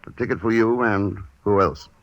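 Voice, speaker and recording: A man's voice: lowish voice, moderate pace, no room sound, lo-fi sound, natural voice. An actor playing a cool, shrewd male investigator in a vintage radio adventure drama, clipped and composed. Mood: cool, businesslike. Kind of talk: 1940s radio drama acting. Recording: noisy old radio recording.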